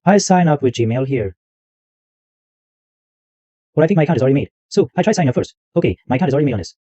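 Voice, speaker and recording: A man hosting a screen-recorded software tutorial, narrating every click step by step in loose, repetitive wording. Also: mid-range voice, lively intonation, quick pace, no room sound. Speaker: tutorial host. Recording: dull lo-fi dry mic sound.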